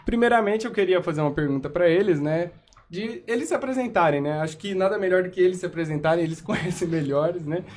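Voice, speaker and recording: A young man hosting a student podcast, with a voice pitched a little high. He talks hesitantly, with many filler sounds, over a faint background hum. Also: fast talker, a little mellow. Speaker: podcast host.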